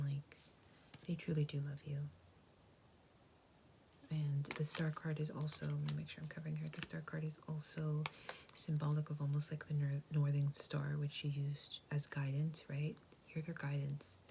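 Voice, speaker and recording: A woman whispers a tarot reading, soft and reassuring, her low voice breaking into a gravelly fry now and then. Her tone stays flat and hushed, and a steady hiss of noise sits under the recording. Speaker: tarot reader.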